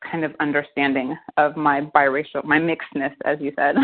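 A woman in her fifties, a guest in a casual interview, talking thoughtfully with many restarts and soft laughs. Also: deep voice, little pitch movement, brisk. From United States. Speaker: guest, winery co-founder. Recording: tinny phone line.

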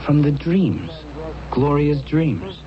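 An actor playing an obsessive, excitable gambler in a radio drama, speaking with insistent emphasis. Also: male voice, on the high side, slow pace, crowd noise. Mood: earnest, insistent. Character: excitable, obsessive male gambler, superstitious about dream numbers. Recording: dull old radio recording, background murmur.

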